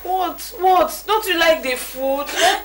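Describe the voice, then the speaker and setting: A woman's voice: very high voice, expressive, slow, full character voice. An actress playing a forgiving woman in an emotional film drama, pleading in short, insistently repeated phrases. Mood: distressed pleading. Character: tearful forgiving woman comforting guests in her home. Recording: clearly noisy location sound.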